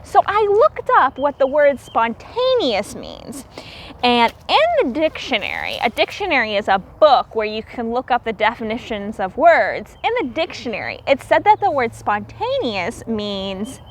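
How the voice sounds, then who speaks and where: A woman teacher reading a children's storybook aloud in an expressive, theatrical way and explaining things simply for young listeners. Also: a little high, measured pace, a little hiss or hum.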